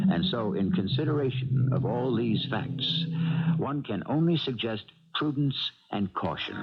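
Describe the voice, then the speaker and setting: A male host narrates a vintage radio mystery in a deep voice. His delivery is rhetorical and theatrical, with lively rises and falls. The old broadcast sounds dull.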